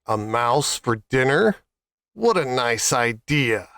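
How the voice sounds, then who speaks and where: A man's voice: mid-range voice, big pitch swings, slow, pushed and bright, no echo, studio quality, full character voice. Man playing a haughty, sneering lion. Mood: gloating. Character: proud, scornful male lion, king of the jungle. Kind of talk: children's fable storytelling.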